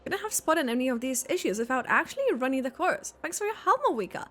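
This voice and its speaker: High-voiced, lively young coach. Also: female voice, fast, heavily acted. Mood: earnest. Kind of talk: video game character acting.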